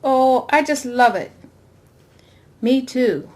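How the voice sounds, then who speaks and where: A woman's voice: sits high, slow pace. A woman reading the dialogue of an easygoing young 1960s commune woman in a dramatic novel reading. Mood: keen.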